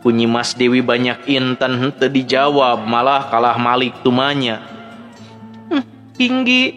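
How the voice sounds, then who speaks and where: A man's voice: medium pitch, level tone, moderate pace, fairly bright tone, highs cut off. A man with a Sundanese accent narrating a dramatic story as a storyteller. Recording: background music under the voice. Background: music.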